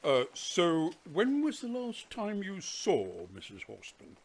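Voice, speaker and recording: A man's voice: high voice, wildly swooping pitch, takes it slowly, full character voice. A man playing a hesitant, formal police detective in a radio play. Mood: questioning, puzzled. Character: hesitant, formal male police detective bearing bad news.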